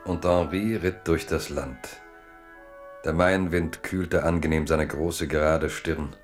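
A man narrating a 1970s literary radio play in a measured, lyrical manner. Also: extremely low pitch, fairly even intonation, faint music behind.

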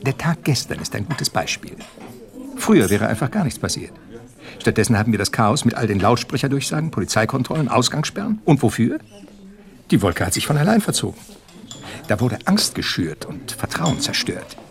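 Pompous industry executive for radio drama: gravelly, highly inflected, rhetorical delivery. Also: male voice, big pitch swings, moderate pace. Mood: brusque, indignant. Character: smooth, pompous male chemical company executive. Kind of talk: German radio crime drama dialogue.

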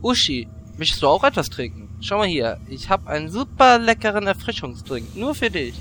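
An actor playing a lecherous, scheming adventurer in a comic audio drama, wheedling and grumbling. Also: male voice, sits high, very animated, moderate pace, a bit bright, slight background noise.

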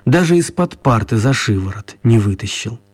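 A man narrating a children's radio play as an expressive, mock-serious storyteller. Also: medium pitch, normal speed, husky, no room sound.

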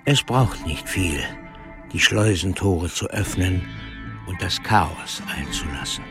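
An actor playing a brooding, philosophical storyteller in a radio drama, with a gravelly voice and a deliberate, ominous delivery. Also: male voice, low voice. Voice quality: gravelly, creaky. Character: brooding, philosophical male storyteller musing on order and chaos. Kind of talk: literary radio drama monologue. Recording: music under the voice. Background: music.

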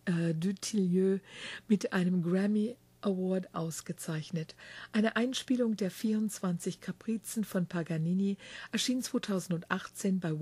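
Woman radio host, mellow husky voice, chatty reading with slips and self-corrections. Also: mid-range voice, no room sound. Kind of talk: reading a guest biography, then interviewing. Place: backstage dressing room.

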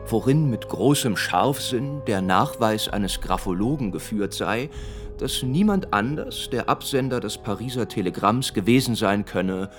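A man acting in a German radio play as a self-assured, ironic gentleman, deliberate and theatrical in his delivery. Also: fairly low pitch, animated, soft background music. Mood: wry recollection.

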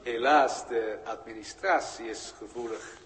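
A man plays a courteous galactic bureaucrat in an old science fiction radio play. He speaks at a middling pitch, measured, formal and detached, with a long reverb trailing every phrase. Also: natural voice. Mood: polite, dry. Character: courteous, unflappable bureaucrat of a galactic communications centre. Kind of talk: 1960s Dutch radio drama acting.

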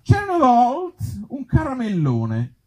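A man from Italy reading absurd short comic stories aloud to a live gathering, with deadpan humour and offhand, hesitant asides. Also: very high voice, unhurried. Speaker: author and actor reading his comic tales at a live event.

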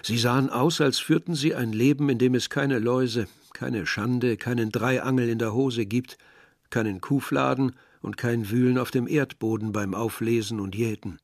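Ein Schauspieler spielt den nachdenklichen jungen Dörfler, der in einem literarischen Hörspiel von seiner Reise erzählt. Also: male voice, medium pitch, fairly even intonation, normal speed, no echo, clean studio sound, natural voice. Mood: wistful. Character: weary, observant young male villager narrating a journey. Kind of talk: literary radio drama narration.

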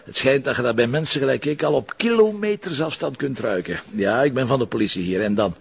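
An actor playing a sardonic, blunt police detective in a television crime drama, with a Flemish accent and a teasing manner. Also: male voice, medium pitch, animated, brisk, creaky, husky, exaggerated voice. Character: cynical, wisecracking male police detective, opinionated and flirtatious. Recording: muffled, noisy drama soundtrack.

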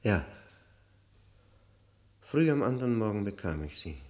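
A man acting an embittered, haunted prisoner in a German radio play, speaking in a brooding, literary, halting manner with heavy pauses. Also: fairly low pitch, very animated, a little mellow. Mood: haunted, confiding. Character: embittered long-term prisoner, haunted and disgusted, confiding.

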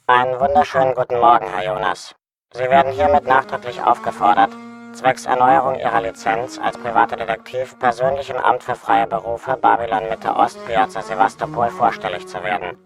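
Actor as officious, gravelly city official. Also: male voice, medium pitch, fairly even intonation, fairly quick, over music, natural voice. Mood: formally stern. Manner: pompous, stiffly bureaucratic. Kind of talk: formal official notice in radio play.

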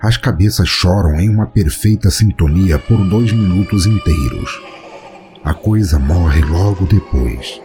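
A man with a Brazilian Portuguese accent narrates a scripted horror story in a measured, solemn, dramatic way. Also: low voice, huge pitch swings, music in the background. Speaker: podcast narrator.

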